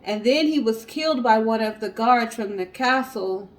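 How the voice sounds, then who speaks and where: A woman co-hosting a show, telling stories and chatting casually to the audience in an animated way. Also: a little high, moderate pace, low-quality recording. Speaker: co-host of a storytelling guessing show. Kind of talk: casual storytelling and chat to viewers.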